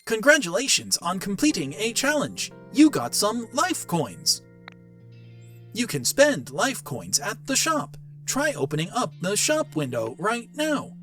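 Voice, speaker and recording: A man voicing a cheerful robotic device announcer in a video game, with formal, drawn-out announcements. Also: high voice, huge pitch swings, sharp tone, recorded from a distance, faint music behind, voice pushed up, full character voice. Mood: upbeat, announcing.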